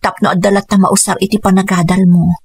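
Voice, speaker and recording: A woman playing an abandoned mother in a radio drama, speaking in a steady, earnest way. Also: medium pitch, quick pace, slightly rough, dry recording, natural voice. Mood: resolute. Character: abandoned mother, resolute and weary.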